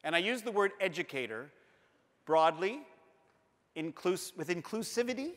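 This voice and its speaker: A man in his fifties with a Canadian accent delivers an earnest, emphatic keynote, pacing the stage on a lapel mic. His voice sits high and sounds bright and forceful. A long hall reverb trails behind each phrase. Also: lively intonation, moderate pace. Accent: Canadian English. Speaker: school board director giving a keynote. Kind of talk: conference keynote speech. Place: large conference hall.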